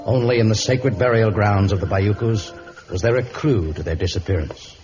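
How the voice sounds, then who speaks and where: An actor serving as the dramatic storyteller of an old radio jungle adventure. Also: male voice, lowish voice, moderate pace, a little mellow, a little hiss or hum, close to an everyday voice. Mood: grave, dramatic. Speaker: narrator. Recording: muffled old radio recording.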